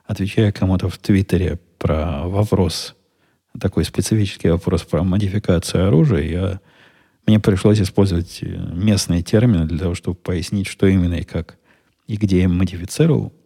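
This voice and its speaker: A middle-aged man hosting a solo podcast, answering listener questions in a dry, wry, unhurried way. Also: low-pitched, fairly even intonation, warm tone, husky. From Russian-speaking country. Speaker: podcast host.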